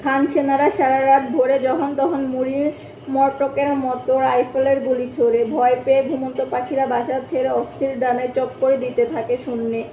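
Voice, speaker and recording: High, even-toned woman narrating in echoey room. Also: moderate pace. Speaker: story narrator. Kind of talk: literary scripted narration. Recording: echoey, muffled, faintly noisy room.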